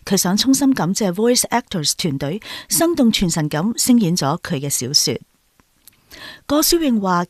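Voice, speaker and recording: A woman's voice: medium pitch, a little mellow, dry recording, clean studio sound. A woman with a Hong Kong Cantonese accent reading a scripted narration as a narrator. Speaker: narrator of the author's note.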